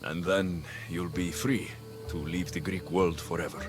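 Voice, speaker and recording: An actor playing a resolute, confident mercenary warrior in a video game, terse and assured over dramatic music. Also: male voice, sits low, fairly even intonation, measured pace. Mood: confident resolve.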